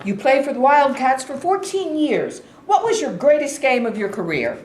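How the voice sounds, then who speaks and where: A woman's voice: high voice, very animated, darkish tone. Woman as probing reporter, echoey room. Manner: questioning, halting. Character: persistent, probing male sports reporter at a press conference. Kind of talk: staged reading of a play. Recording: echoey room, faint noise.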